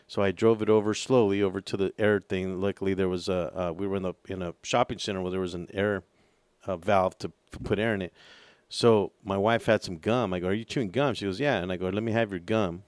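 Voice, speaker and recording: A man's voice: low-pitched, steady tone, a little fast, darkish tone, no echo. A man co-hosting a casual radio talk show, telling a story with stammers and repeated words.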